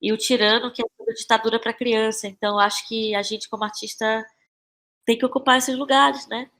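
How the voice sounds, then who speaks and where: A woman's voice: on the high side, fairly even intonation, a little fast, a bit bright. A Brazilian woman speaking as a podcast guest, casual and reflective, often repeating phrases as she finds her words. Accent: Brazilian Portuguese. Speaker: interview guest, playwright and actress.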